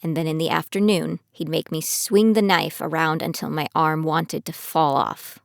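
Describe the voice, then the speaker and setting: A woman's voice: deep voice, fairly even intonation, normal speed, creaky, slight background noise. A woman playing a determined young female trainee who tells her own story in an audio drama, in scripted first person. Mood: reflective narration. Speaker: narrator and lead character of an audio drama. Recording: studio voice over prominent music.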